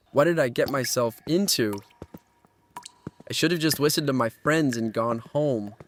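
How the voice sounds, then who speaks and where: A man's voice: medium pitch, a little slow, close to an everyday voice. Man playing an anxious young guy, noisy background. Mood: uneasy, subdued. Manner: hesitant, earnest, easily shaken. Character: naive, anxious young man trapped in a nightmare world. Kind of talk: horror audio drama acting with first-person narration.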